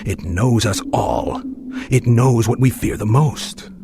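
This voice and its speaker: An actor playing a sinister, silky magician's mechanic in an audio drama, speaking in a formal, ominous, insinuating manner. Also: male voice, medium pitch, takes it slowly, noisy background. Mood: ominous relish.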